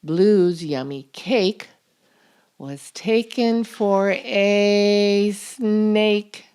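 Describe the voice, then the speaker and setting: A woman reading a children's picture book aloud as a storytime host, expressive and deliberate, drawing out key words. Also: mid-range voice, smooth. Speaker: storytime reader and channel host.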